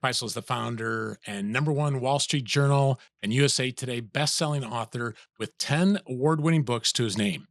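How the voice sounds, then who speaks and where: Podcast host guy, smooth upbeat intro, dry close sound. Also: medium pitch, normal speed, few pauses, no room sound. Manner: polished, enthusiastic introductions.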